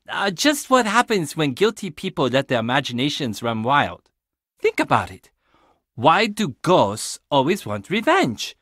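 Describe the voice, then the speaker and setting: A young man from a video game, easygoing and skeptical, with a fairly high voice. He chats casually and wryly, his pitch swinging in a lively way. The sound is close and dry. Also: dry recording, full character voice. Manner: casual, chatty, wry. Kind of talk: video game character dialogue.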